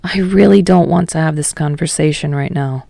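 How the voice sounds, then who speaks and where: A woman voicing a downcast, evasive teenage boy in an audio story, casual and halting with some frustration. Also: low voice, gravelly, warm tone, no room sound, clean studio sound. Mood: weary frustration.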